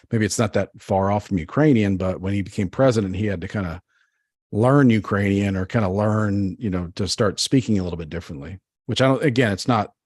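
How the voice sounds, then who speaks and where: A man's voice: fairly low pitch, no echo, studio quality. A man co-hosting a podcast, rambling in casual chat full of fillers. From United States. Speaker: podcast co-host.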